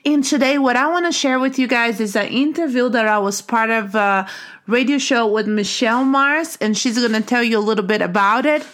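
A woman's voice: on the high side, fairly quick, fairly bright tone. A woman casually greeting her viewers at the start of a video. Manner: chatty, addressing viewers directly. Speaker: vlogger.

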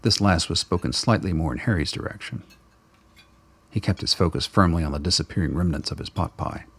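A male narrator telling a radio drama steadily in close third person. His voice is deep and husky. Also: brisk, a bit bright. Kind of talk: scripted narration.

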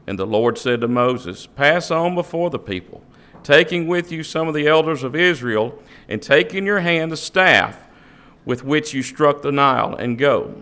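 A man's voice: a little high, lively intonation, moderate pace, bright, forceful tone. Man reading scripture in church. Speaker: scripture reader.

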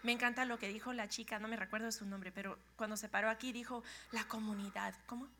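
A middle-aged woman from Guatemala with a Guatemalan accent, giving a lively, joking talk to a church congregation. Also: on the high side, fast talker. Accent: Guatemalan Spanish. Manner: lively and joking, laughs often. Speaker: guest speaker, pastor's wife.

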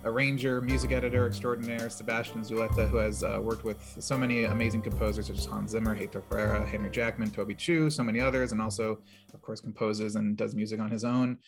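A man's voice: lowish voice, level tone, fast talker, faint music behind. A man hosting a casual interview, speaking in an effusive manner. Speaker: interview host.

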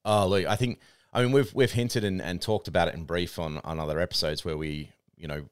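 A man's voice: sits low, steady tone, brisk, clean tone, dry recording, clean studio sound. A man co-hosting a podcast, chatting casually and often restarting or repeating words. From New Zealand. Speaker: podcast co-host, real estate trainer. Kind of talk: casual chat.

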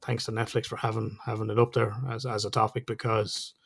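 A man's voice: fairly low pitch, completely flat delivery, fairly quick, vocal fry, fairly bright tone, slightly rough. A man with an Irish accent, co-hosting a podcast in a casual, chatty way, laughing often and swearing now and then. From Ireland. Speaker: podcast co-host.

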